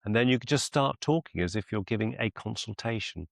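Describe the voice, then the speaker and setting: A man coaching one-to-one on a video call as a mentor, deliberate and encouraging, often repeating phrases for emphasis. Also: lowish voice, animated, vocal fry, dry recording, studio quality. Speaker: business mentor and clinician.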